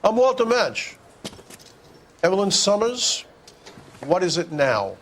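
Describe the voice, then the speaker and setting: An actor playing a blunt, irritable barkeeper in a 1940s crime game, dismissive in manner. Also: male voice, sits high, very animated, slow, a bit bright, slight background noise, exaggerated voice. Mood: irritated. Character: gruff, irritable male bar keeper.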